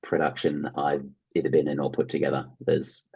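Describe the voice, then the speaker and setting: A man's voice: extremely low pitch, level tone, moderate pace, vocal fry, a little mellow, breathy edge, lo-fi sound. An Australian man speaking casually and enthusiastically as an interview guest, in an informal conversational way. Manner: enthusiastic, informal, acts out imagined reactions. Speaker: interview guest, actor and theatre producer.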